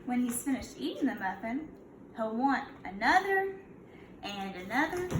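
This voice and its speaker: High-voiced woman reading to young children. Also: big pitch swings, unhurried. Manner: instructive, prompting listeners with questions. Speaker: kindergarten teacher. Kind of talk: picture-book read-aloud for young children. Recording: echoey room, clear background noise.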